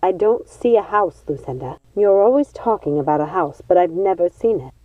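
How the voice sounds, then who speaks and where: A woman's voice: lowish voice, lively intonation, normal speed, dry recording, dull audio. A woman voicing a troubled, irritable witch's daughter from a storybook, plaintive and exasperated. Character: troubled, irritable witch's daughter doubting her own magic.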